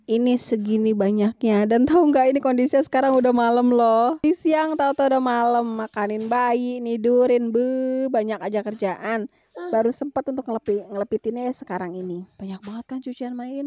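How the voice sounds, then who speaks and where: A woman's voice: a little high, mellow, no echo. A woman with an Indonesian accent narrating a home vlog as a mother, in a chatty, colloquial way.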